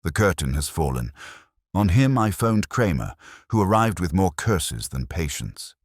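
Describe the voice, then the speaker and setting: Very deep-voiced man narrating detective fiction. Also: big pitch swings, a little slow, dry recording, studio quality. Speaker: audiobook narrator. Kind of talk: scripted first-person detective story narration.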